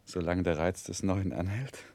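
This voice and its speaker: A man's voice: deep voice, steady tone, moderate pace, a little mellow. An actor playing an earnest, admiring young man, a devoted friend, in a 1960s German radio drama. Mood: amused, curious.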